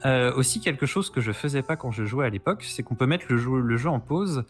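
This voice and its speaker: A man's voice: mid-range voice, brisk. A man chatting casually as a podcast guest, explaining retro games with keen interest. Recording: music playing behind the voice.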